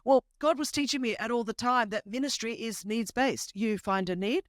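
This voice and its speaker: A woman in her fifties with an Australian accent whose first language is Greek, telling a lively, humorous personal story as a podcast host. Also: a little high, normal speed, no echo, clean studio sound. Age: 50s. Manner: animated, emphatic, humorous storyteller. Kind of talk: conversational testimony storytelling.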